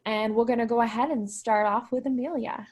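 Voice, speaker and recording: Woman, fairly high voice, upbeat panel moderator, chatty, video call. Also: steady tone, fairly quick, a little mellow. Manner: enthusiastic, encouraging, chatty. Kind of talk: upbeat online panel hosting.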